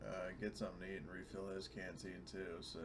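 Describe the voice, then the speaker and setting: A man's voice: low voice, level tone, fast talker. A man playing in an online tabletop roleplaying game, chatting casually about his moves. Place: online voice call. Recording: very noisy online voice chat.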